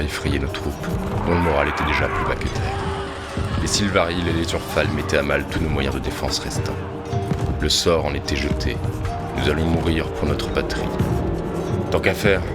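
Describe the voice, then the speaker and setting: An actor playing a grave, noble general, narrating a fantasy war drama in solemn literary language. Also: male voice, very deep voice. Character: grave, noble army general recounting a desperate battle. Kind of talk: dramatic scripted first-person narration. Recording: voice under loud music.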